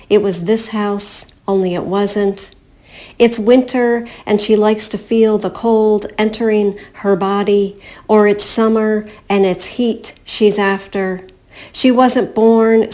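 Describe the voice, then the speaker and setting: A woman poet reading her own poems in a measured, deliberate way. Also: medium pitch, dark tone. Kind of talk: poetry reading.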